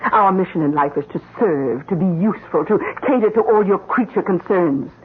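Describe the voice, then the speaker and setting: An actress playing a scheming middle-aged housekeeper in an old-time radio mystery, with deliberate, insinuating, theatrical phrasing. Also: female voice, on the low side, slightly rough. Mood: yearning, determined. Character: scheming middle-aged housekeeper, coolly blackmailing her employer. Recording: muffled old radio recording.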